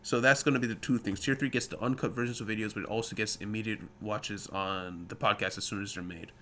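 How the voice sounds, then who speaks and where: A man's voice: fairly low pitch, steady tone, fast talker, a bit bright, a little hiss or hum. A man hosting a casual podcast, chatty and teasing as he wraps up the episode. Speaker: podcast host. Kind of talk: casual podcast chat and sign-off.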